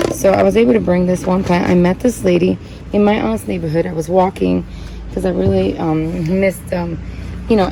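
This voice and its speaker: A woman's voice: lowish voice, gravelly, dark tone, slight background noise, poor audio. A woman who was born in Puerto Rico and grew up in Connecticut, hosting a plant channel in a rambling, chatty way with many fillers as she repots cacti. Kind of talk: casual chat while repotting cacti.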